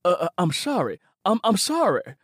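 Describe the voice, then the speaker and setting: A man's voice: mid-range voice, lively intonation, moderate pace, no echo, clean studio sound, close to an everyday voice. A man voicing a big, strong man who stammers apologies in an audiobook. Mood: pleading. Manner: stammering, pleading.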